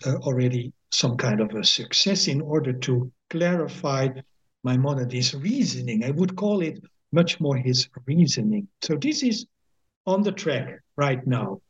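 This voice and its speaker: Slow, husky older Dutch man. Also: a little high, highs cut off. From Netherlands. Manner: thoughtful, hesitant, many fillers and restarts; slow, measured reading of translated poetry. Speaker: guest author, emeritus professor. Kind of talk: podcast interview.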